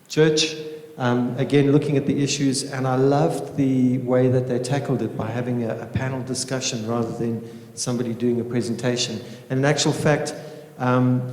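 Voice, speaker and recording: A man's voice: mid-range voice, darkish tone. A man speaking informally as a teacher opening a panel evening, addressing a room and praying. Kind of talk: informal welcome speech and spoken prayer. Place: indoor room with small audience. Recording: echoey reverberant room.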